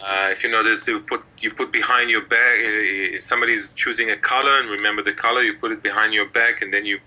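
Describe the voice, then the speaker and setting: A man's voice: fairly low pitch, fairly even intonation, fast talker, bright, forceful tone, rough recording. A middle-aged man from Israel, a mentalist telling his story in an interview, rambling with many fillers. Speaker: mentalist guest. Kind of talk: relaxed interview talk.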